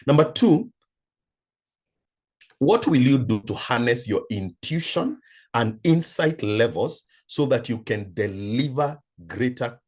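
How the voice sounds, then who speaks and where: A Kenyan-accented man speaks in a fairly low register, like a coach addressing an online session. His delivery is deliberate and emphatic, setting out each point in an orderly way. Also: darkish tone. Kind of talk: motivational talk in an online session.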